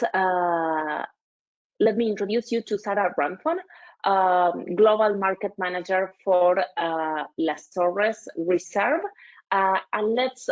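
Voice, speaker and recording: Lady hosting an online webinar, lots of uhs, muffled video call audio. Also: medium pitch. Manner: hesitant, many fillers. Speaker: webinar host.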